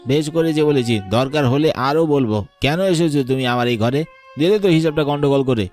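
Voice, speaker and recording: A man's voice: fairly high pitch, fairly quick, darkish tone, natural voice. A man voicing a greedy, scornful younger-brother villain in a melodramatic cartoon, sneering and bossy, over music.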